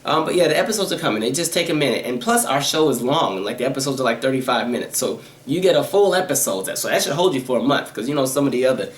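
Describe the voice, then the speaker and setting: Fast-talking nasal man, echoey room. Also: expressive. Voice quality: high-pitched, nasal voice. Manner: talks fast and rambles, with many fillers and asides. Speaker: YouTuber answering viewer questions. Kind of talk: casual Q&A vlog.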